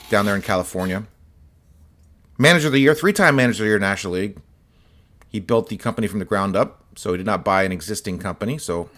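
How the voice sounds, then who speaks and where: A man with a slightly hoarse, scratchy voice, chatting casually as host while showing autographed sports cards. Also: medium pitch. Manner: relaxed, chatty, many fillers and asides. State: slightly hoarse, voice partly lost. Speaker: host of a card-collecting channel.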